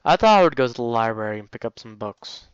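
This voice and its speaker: Guy reading a visual novel out loud w jokey comments, clean smooth voice, kinda dull dry mic. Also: mid-range voice, lively intonation, quick pace, dry recording. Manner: jokey asides while reading. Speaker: let's play host. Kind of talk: casual visual novel read-aloud with commentary.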